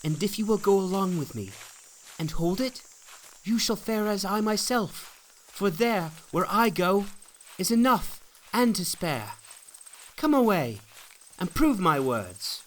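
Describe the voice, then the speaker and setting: A man's voice: high voice, expressive, measured pace, slight background noise, pitched above the performer's own voice, low-quality recording. A man voicing an earnest, fervent pilgrim who exhorts and pleads in solemn archaic speech, in a dramatic storybook reading. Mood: fervent, pleading. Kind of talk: dramatic reading of an old allegory.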